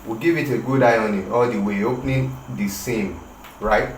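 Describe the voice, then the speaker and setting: A man from Nigeria with a Yoruba-tinged Nigerian English accent, walking through a sewing tutorial with a slow, hesitant manner full of pauses and restarts. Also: lowish voice. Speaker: tailoring instructor. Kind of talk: tutorial demonstration. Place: room with a patterned rug, working on the floor. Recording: echoey room, faint ambient noise.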